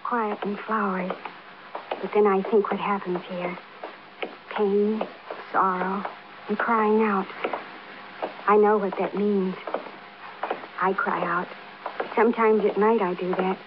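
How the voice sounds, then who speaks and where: An actress playing a lonely, fearful, fragile wife who confides in halting phrases in a 1950s radio drama. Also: female voice, medium pitch, level tone, natural voice. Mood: haunted, sad. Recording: muffled, noisy vintage radio recording.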